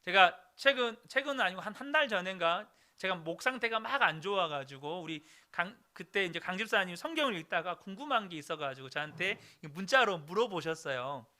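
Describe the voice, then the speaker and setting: A man's voice: high voice, lively intonation, a little fast, hardly any pauses, bright, forceful tone. A man in his thirties, a pastor, preaching a sermon earnestly and addressing the congregation directly. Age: 30s. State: recovering throat, clears throat.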